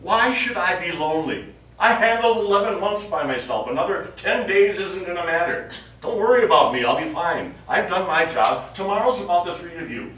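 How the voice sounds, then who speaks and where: A man plays an encouraging, needling astronaut on stage, with a high-pitched voice and colloquial delivery. The sound is very echoey and picked up from far off. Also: animated, normal speed, a bit bright. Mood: stoic. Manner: colloquial, prodding. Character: supportive, needling male astronaut crewmate who stays behind. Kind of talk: stage play dialogue. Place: small studio theatre, recorded from afar. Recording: very echoey, noisy, distant stage recording.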